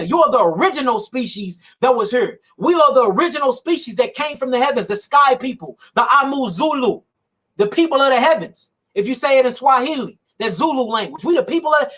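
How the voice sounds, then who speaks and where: A man's voice: very high-pitched, a bit bright. A man giving an emphatic, preachy monologue about health, speaking colloquially, repeating his points and laughing often.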